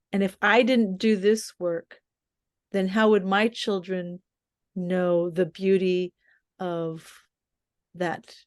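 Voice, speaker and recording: An older woman from the US-Mexico border, bilingual in English and Spanish, talking about stories in a thoughtful, measured conversation with frequent restarts. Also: medium pitch, a little mellow, dry recording, studio quality. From US-Mexico border region. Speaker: columnist. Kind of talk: reflective conversation.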